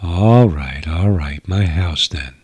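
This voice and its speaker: A man's voice: low voice, takes it slowly, darkish tone, breathy edge, no room sound, voice pushed down, heavily acted. A man voicing a sassy young alien woman with a teasing, insolent drawl in a radio sci-fi story. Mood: sulky.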